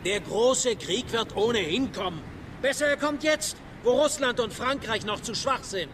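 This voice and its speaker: An actor playing a hawkish, calculating count and diplomat in a historical radio drama, speaking assertively. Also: male voice, extremely high pitch, animated, moderate pace. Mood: eager, hawkish. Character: hawkish male count and diplomat eager for war. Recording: distant mic, noisy outdoor background.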